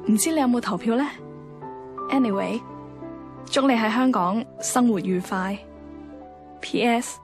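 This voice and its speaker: An earnest young woman in a radio drama, reading a heartfelt letter. Her voice sits fairly high and she speaks reflective, sincere Hong Kong Cantonese. Music plays clearly behind her. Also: unhurried, pauses often. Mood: earnest, resolute.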